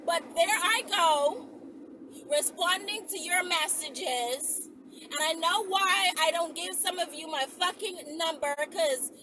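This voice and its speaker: A woman's voice: extremely high pitch, slow. A woman talking casually and bluntly to her viewers, with a sassy manner, as a content creator. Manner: sassy, blunt, heavy swearing. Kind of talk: casual rant to camera, addressing viewers directly. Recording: distant mic with clear background noise.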